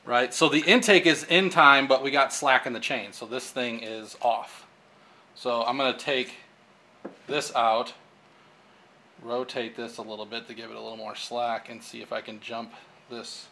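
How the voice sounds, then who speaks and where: A man's voice: medium pitch, steady tone, moderate pace, creaky, pushed and bright, slight background noise. Casual young man explaining engine work. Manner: casual, explanatory, frequent fillers while working. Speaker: engine shop technician. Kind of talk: hands-on how-to demonstration. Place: engine workshop with pegboard wall, indoors.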